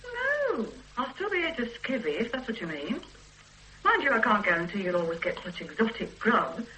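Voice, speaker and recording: An actress playing a sharp-tongued, sarcastic housewife in a radio drama. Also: female voice, on the high side, very animated, normal speed, slight background noise, low-quality recording. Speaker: detective's wife. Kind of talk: 1980s radio drama acting.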